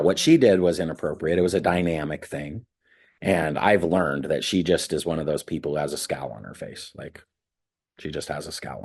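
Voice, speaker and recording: A man with a deep, husky voice teaches a ministry class. He tells candid stories from his own life, and his voice jumps up high when he gets worked up. He sounds like a pastor talking straight with his students. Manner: candid, animated storytelling, voice jumps up when excited.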